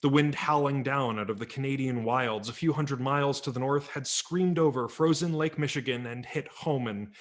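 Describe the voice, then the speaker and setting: A man narrating a humorous memoir story as a dramatic reading, wry and theatrical, letting his voice drop low at the ends of phrases. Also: a bit bright. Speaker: audiobook narrator. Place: home, virtual reading.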